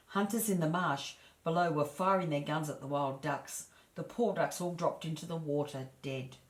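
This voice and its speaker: A woman storyteller reading a children's fairy tale aloud in a measured, expressive way. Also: deep voice. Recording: echoey room.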